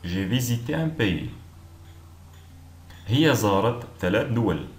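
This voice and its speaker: Low-voiced man drilling phrases slowly. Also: expressive. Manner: clear, deliberate pronunciation, repeats each phrase. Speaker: language teacher. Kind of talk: slow repeated phrase drills. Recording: echoey room with faint noise.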